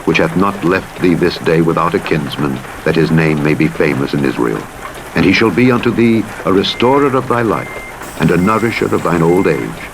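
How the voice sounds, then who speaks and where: A deep-voiced man reads scripture with solemn, measured storytelling delivery. His intonation rises and falls widely, giving the text drama. A noisy rumble of vehicle and rain-like game sound runs behind him. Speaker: audiobook narrator. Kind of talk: scripted dramatic Bible reading. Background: truck simulator game driving noise.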